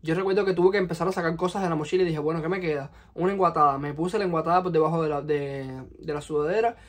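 A young man in his twenties from Cuba, speaking with a Cuban Spanish accent, telling a personal story to the camera in his bedroom with lively, expressive delivery. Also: fairly high pitch, fast. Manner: animated, expressive, gesturing with hands. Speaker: vlogger. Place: small bedroom, indoors. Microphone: camera in front at close range.

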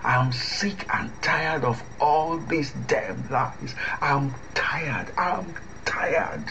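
Brief: a man as a resentful, exhausted boyfriend, slow drawl, hissy recording. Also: on the high side. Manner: weary, half-whispered, sulky complaints. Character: tired, resentful lover Yemi, fed up with lies. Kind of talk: radio drama acting. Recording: clear background hiss.

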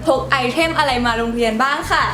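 A woman's voice: sits high, fast. A young teenage schoolgirl co-hosting a student vlog, explaining things politely to viewers. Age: young teenager, secondary school student. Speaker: student co-host of a school channel. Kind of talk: scripted vlog presenting to camera. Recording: roomy echo, music behind. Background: background music.

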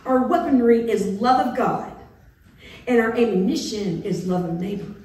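A middle-aged woman preaches from the pulpit, lively and playful, slipping in and out of dramatic voices. Her fairly high voice rings around a big echoing sanctuary. The camera sits well back in the pews. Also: normal speed. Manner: animated, playful, acting out biblical figures with big swings. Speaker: pastor. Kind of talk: sermon. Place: church sanctuary with organ pipes. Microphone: far camera in the pews. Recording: echoey church from a distance.

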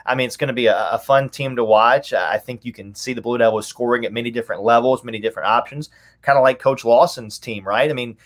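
A man's voice: mid-range voice, fast talker. A man in his thirties giving conversational sports commentary as a guest on a video call from a room at home. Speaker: guest, radio play-by-play announcer.

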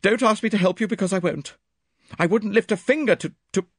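A blunt, vehement Englishwoman from an audiobook, acted out by a male reader. The voice is pitched high and leaps around dramatically. She is agitated and sharp-tongued, exclaiming emphatically in a dry, close recording. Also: wildly swooping pitch, a little slow, husky, dry recording, heavily acted. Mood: wavering, agitated. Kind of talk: audiobook character dialogue.

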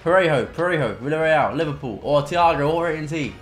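A young man commentating on his own gameplay at home. His voice sits high and swings wildly, jumping from low muttering to sharp yelps. The room rings with echo, and faint game music plays underneath. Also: fast. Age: young adult. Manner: excitable, loud outbursts at goals, muttering between plays. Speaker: gaming content creator. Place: home gaming room indoors.

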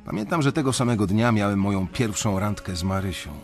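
An actor playing a reflective young banker, narrating his story in measured, literary first person for a radio drama, with a husky voice. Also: on the low side, soft background music, lightly acted.

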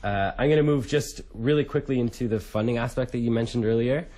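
A man's voice: mid-range voice, quick pace, warm tone. A young man co-hosting a radio show, asking rambling, informal interview questions. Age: young adult. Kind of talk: casual interview. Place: radio studio.

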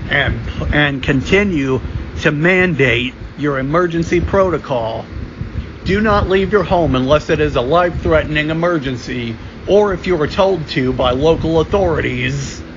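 A man reading amateur mock emergency broadcast announcements in a formal, deliberate way, sometimes stumbling and repeating phrases. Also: on the high side. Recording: dull lo-fi sound with loud ambient hum.

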